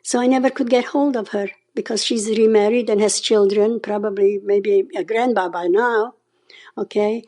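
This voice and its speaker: A woman's voice: mid-range voice, steady tone, moderate pace, warm tone. A woman in her nineties from Czechoslovakia with a Hungarian-Czech accent, telling her memories in an oral history interview and often adding "okay?". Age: 90s. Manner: storytelling, often adds a questioning "okay?". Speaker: interview guest.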